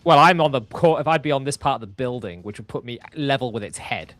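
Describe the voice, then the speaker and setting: A man's voice: mid-range voice, expressive, fast talker, a bit bright, no echo. A man talking casually and thoughtfully as a player in a tabletop roleplaying game.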